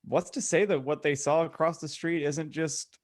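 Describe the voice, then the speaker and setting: A man in a casual podcast chat, halting as he thinks aloud with lots of filler words. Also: fairly high pitch, fairly even intonation.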